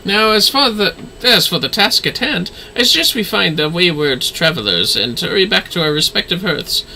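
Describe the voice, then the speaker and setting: A man plays a dutiful fantasy-game manservant who carries a sword. He sounds grave, courtly and earnest, with a very bright, forceful voice. His phrasing is measured and formal. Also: high voice, sing-song, creaky, a little hiss or hum, full character voice, rough recording. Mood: earnest, worried.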